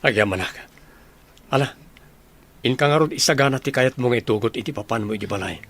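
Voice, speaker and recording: A man acts out a confident young soldier in an Ilocano radio comedy drama. He speaks in a medium-pitched voice with a teasing manner. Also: fast talker, fairly bright tone, natural voice. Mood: businesslike.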